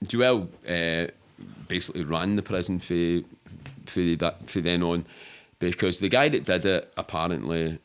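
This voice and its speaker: A Scottish man in middle age talks in a fairly low-pitched voice. He tells his stories as a podcast guest would, casually and loosely, rambling between details. The delivery is sweary and matter-of-fact. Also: unhurried, clean tone. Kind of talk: casual storytelling in an interview.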